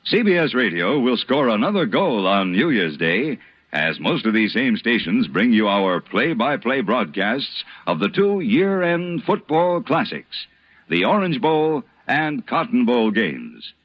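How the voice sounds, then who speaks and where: Old time radio promo guy, punchy n animated, husky, dry muffled sound. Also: mid-range voice, unhurried, no echo. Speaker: radio announcer. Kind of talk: 1950s radio promo announcing. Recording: dull old radio broadcast recording.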